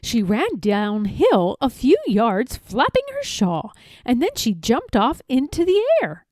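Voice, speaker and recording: A woman narrating a children's story from a script, expressive and careful in her phrasing. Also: on the high side, slow, no room sound, clean studio sound. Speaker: storybook reader.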